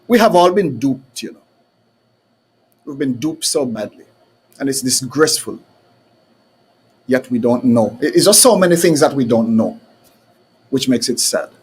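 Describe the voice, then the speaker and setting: A man's voice: mid-range voice, expressive, measured pace, pauses often, slightly rough. A man hosting a talk show, ranting emphatically and firing off rhetorical questions. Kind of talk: heated call-in style talk.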